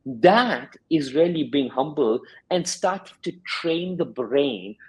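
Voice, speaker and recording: Husky-voiced man coaching with conviction. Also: a little high, animated, takes it slowly, a little mellow. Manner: reflective, explains with examples. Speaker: sports scientist and performance coach. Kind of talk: interview answers.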